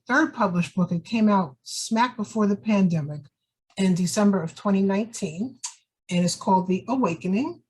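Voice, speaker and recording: New York-accented woman poet, gentle reading voice, mid pitch. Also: poor audio. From Queens, New York. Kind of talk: poetry reading.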